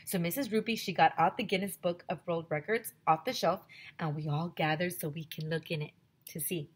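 A woman in her thirties, a teacher on a headset mic, reading a chapter book aloud to children with lively, expressive storytelling. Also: lowish voice, fairly quick, slightly rough. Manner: expressive storytelling, addresses kids directly. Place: small home room with classroom decorations.

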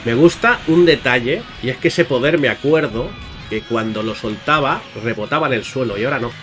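A man commentates a video game in a casual, chatty way, addressing his viewers as he plays. His voice sits at an average pitch, with playful exclamations thrown in. Music plays clearly behind him. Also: a little fast, fairly bright tone. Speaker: gaming YouTuber. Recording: music under the voice.

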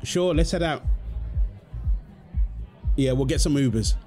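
Young man, casual roleplay acting, mid-pitched voice, music in the background. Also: a little slow, mellow. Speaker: audio roleplay performer. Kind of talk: ASMR boyfriend roleplay acting. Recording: music behind the voice.

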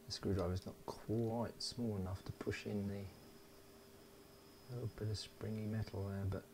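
Low, gravelly man. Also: steady tone, mellow, slight background noise. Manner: unhurried, thinking aloud while working. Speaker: host of an electronics build video. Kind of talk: casual hands-on tutorial narration. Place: indoors at a workbench.

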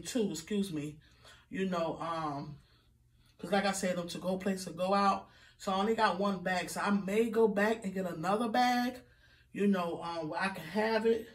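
A middle-aged woman chatting casually to her viewers in a home kitchen, with lots of fillers and "okay, guys" asides. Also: mid-range voice. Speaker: vlogger. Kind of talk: casual grocery haul vlog. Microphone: phone camera on counter, a few feet off. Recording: distant phone mic with background noise.

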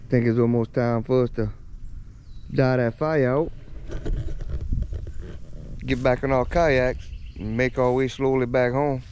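A man's voice: mid-range voice, fairly even intonation, unhurried, long pauses, a little mellow, slight background noise. A man, talking casually to his son and his viewers in an easygoing vlog filmed outdoors on a handheld camera. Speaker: vlogger. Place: outdoors on a bayou.

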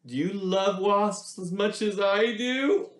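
A man's voice: sits high, slow pace, echoey room. A middle-aged American man reading a picture book aloud to children with theatrical expression and chatty asides in a small room. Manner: animated, theatrical, addresses young listeners directly. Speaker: children's read-aloud presenter.

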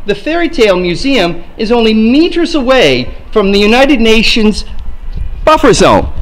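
A middle-aged man works as a travel show host, presenting to viewers and putting questions to guests. His voice sits high for a man and moves through a very lively range of pitch. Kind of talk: travel vlog presenting and interviewing.

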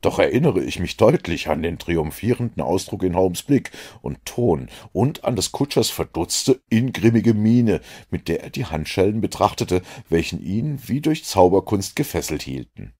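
A man reading a detective novel aloud as audiobook narration, in deep, even storytelling with a dramatic lift. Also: moderate pace, hardly any pauses, husky. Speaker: audiobook reader.

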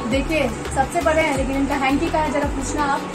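A woman's voice: high voice, little pitch movement, quick pace, distant mic, music in the background. A young woman chatting casually and teasingly as host of a family vlog. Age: young adult. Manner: playful, teasing. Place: living room at home.

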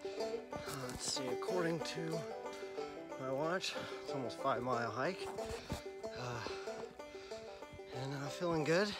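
Slow-talking man vlogging casually. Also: fairly high pitch, animated, over loud music. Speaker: vlogger filming a camping trip. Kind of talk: casual vlog chat. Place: mostly outdoors in winter woods, later inside a car. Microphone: handheld camera at arm's length.

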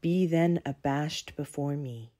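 A young woman reading aloud and reflecting for children in a deliberate, carefully enunciated way. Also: low-pitched, dark tone. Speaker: children's story reader and presenter. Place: indoors in a home room with windows.

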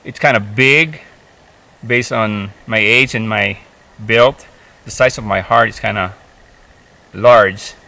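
Fifties guy vlogging out in the woods, bright edgy voice, lots of uh and um. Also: fairly low pitch, creaky, no echo, poor audio. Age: 50s. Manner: halting, many fillers and restarts. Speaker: hiking vlogger. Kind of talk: casual talk to camera. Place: forest, outdoors, seated on a rock.

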